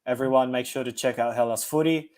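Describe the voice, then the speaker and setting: A man's voice: medium pitch, fairly even intonation, fast talker, a little mellow. A man hosting a podcast who chats in an easygoing way and wraps up the show. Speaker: podcast host. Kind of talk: casual chat.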